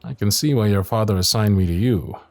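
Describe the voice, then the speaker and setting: A man's voice: fairly low pitch, a little fast, vocal fry, darkish tone, faint music behind. A man playing a loyal, protective royal knight in a fantasy ASMR roleplay, speaking in courtly phrases, both teasing and earnest. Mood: fond, wry. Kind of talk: ASMR roleplay acting, fantasy audio drama.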